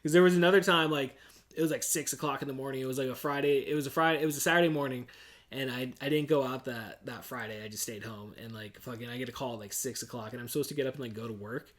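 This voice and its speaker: A man's voice: medium pitch, fast, a bit bright. Swearing laid-back man in his forties. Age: 40s. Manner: laid-back, swears a lot, says "dude" constantly, laughs often. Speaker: podcast host. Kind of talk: casual chat.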